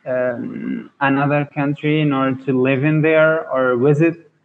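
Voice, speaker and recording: A young man from Afghanistan in his twenties, a university student, practising on a video call and halting as he searches for words. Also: medium pitch, steady tone, narrow, tinny audio. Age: 20s. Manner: halting, searching for words, non-native phrasing. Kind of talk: casual video call chat. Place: indoor room. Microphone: earphone headset on a video call.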